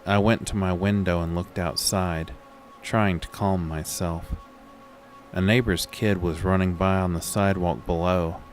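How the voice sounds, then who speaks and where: Haunted male horror narrator played by a male actor: very low voice, unhurried and weary, music underneath. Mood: uneasy. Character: haunted man recounting a horror, weary and uneasy. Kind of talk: scripted first-person horror audio drama narration.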